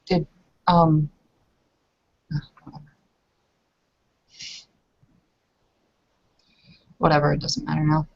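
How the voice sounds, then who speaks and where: A woman playing a guarded, resigned young married woman in a roleplaying game, speaking in a formal, measured, stiffly controlled way. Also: low voice, flat delivery, gravelly, a little mellow, no echo, highs cut off. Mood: resigned, frustrated.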